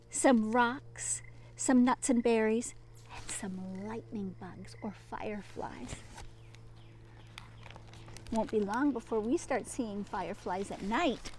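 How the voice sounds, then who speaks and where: Slow, high-voiced woman teacher reading to children. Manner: sing-song, expressive, addresses children directly with hushed asides. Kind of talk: animated children's picture-book read-aloud and gentle chat to children.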